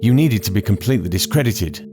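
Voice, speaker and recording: A man's voice: lowish voice, animated, quick pace, noisy background, natural voice. A man voicing an earnest, indignant young man in an audiobook. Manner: heated and accusing.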